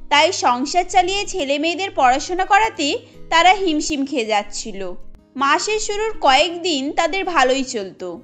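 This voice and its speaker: A woman's voice: high voice, a bit bright. A woman narrating a scripted cartoon story as its storyteller.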